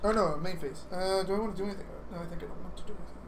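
A man's voice: sits high, fairly even intonation, very fast. Hesitant man at a game table, noisy recording. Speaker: board game player. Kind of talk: casual game chat, thinking aloud. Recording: clearly noisy livestream room recording.

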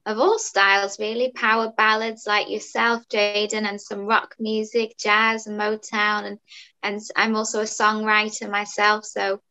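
A woman's voice: medium pitch, level tone, unhurried, sharp tone. A woman in her thirties, a singer, chatting upbeat with fillers as a guest over a video call. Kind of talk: casual interview chat. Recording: dull lo-fi video call audio.

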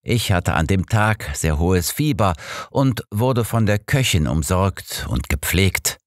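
Audiobook role, grim old clergyman acted by a man: deep, dignified, curt, formal. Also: big pitch swings, slow pace, no room sound, clean studio sound, heavily acted. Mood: defensive, formal. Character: grim elderly pastor, indignant and dignified.

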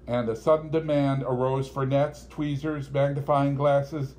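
A man's voice: mid-range voice, a little mellow. A man reads literary fiction aloud as an audiobook narrator.

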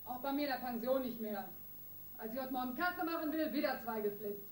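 A woman's voice: sits high, fairly quick, natural voice. An actress playing a brash, nagging woman in a criminal gang in a radio crime drama, blunt and slangy. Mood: scolding. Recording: roomy, distant, noisy radio drama.

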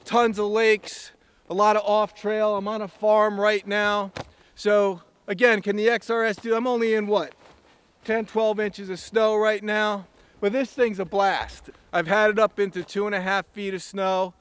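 Upbeat man with a clear, high voice. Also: level tone, unhurried, fairly bright tone. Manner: enthusiastic, plainspoken, rambling. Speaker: snowmobile reviewer. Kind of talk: casual outdoor product review. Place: outdoors on a snowy farm.

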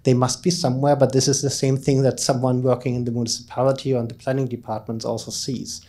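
Male academic keynote speaker, middle-aged, mid-pitched and soft-edged mellow voice, informal explanatory delivery on a handheld mic. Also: flat delivery, fast talker. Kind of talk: conference keynote talk. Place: conference lecture room, indoors, at a lectern. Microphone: handheld microphone.

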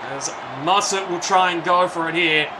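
A man calling a video game football match as play-by-play commentator, building to shouts at big plays. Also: sits high, moderate pace, over a noisy crowd, heavy background noise. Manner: excitable, calls plays and shouts at big moments.

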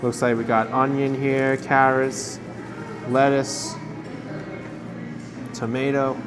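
A man of mid pitch hosts a casual food vlog from inside a restaurant, narrating as he tries each dish. He talks with easy enthusiasm and savors bites with soft murmurs, while clear music plays underneath. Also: little pitch movement, takes it slowly. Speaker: food vlogger. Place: roadside restaurant in a hot desert town.

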